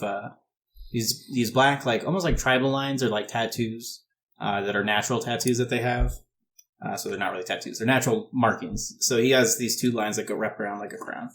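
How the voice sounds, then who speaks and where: Low-voiced man narrating a tabletop game. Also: fairly bright tone. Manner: explanatory, informal, conversational. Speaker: game master. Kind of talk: improvised narration of a tabletop role-playing game.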